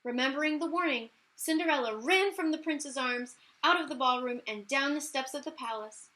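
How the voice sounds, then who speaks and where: A woman reading a children's story aloud as a teacher, in an animated, emphatic way with key words drawn out. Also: high-pitched, moderate pace, rough recording. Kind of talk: expressive children's read-aloud narration.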